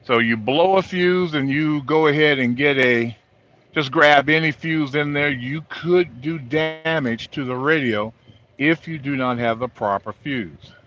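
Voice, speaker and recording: A middle-aged or older man, a trucker, giving an informal, halting walkthrough of gear from inside his truck cab. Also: a little high, a bit bright, slight background noise. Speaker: truck driver vlogger. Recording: dull lo-fi audio.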